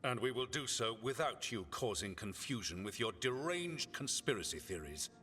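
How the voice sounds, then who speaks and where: An actor playing a stern, dismissive military commander in a video game, speaking with pompous, deliberate authority. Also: male voice, medium pitch, big pitch swings, bright, forceful tone. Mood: grave, condescending. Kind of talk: dramatic video game dialogue. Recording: long reverb, music behind.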